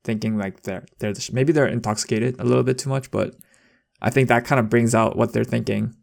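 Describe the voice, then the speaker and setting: A man's voice: medium pitch, steady tone, a little fast, vocal fry. A young man chatting casually as a podcast co-host, rambling with lots of fillers and restarts. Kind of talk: casual chat.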